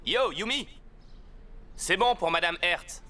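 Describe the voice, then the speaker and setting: Cartoon teen boy, confident, breezy, lively intonation, fairly high male voice, music behind. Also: slow, heavily acted. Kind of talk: animated series dubbing.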